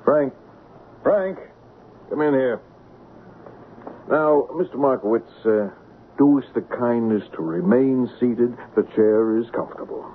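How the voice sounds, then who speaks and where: An actor playing a sly, rough-edged male swindler in a 1970s radio drama. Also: medium pitch, lively intonation, unhurried, long pauses, close to an everyday voice. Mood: slyly menacing. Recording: muffled, hissy 1970s radio broadcast.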